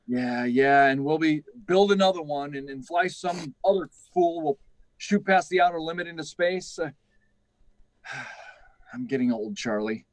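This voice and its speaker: Guy acting as gruff worried older flight boss in a radio play, halting, kinda high voice, dry sound. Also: expressive, no echo. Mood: weary, guilty. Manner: halting, urgent, repeating himself. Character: aging, anxious male test-flight chief, guilt-ridden and gruff. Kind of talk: live radio drama acting.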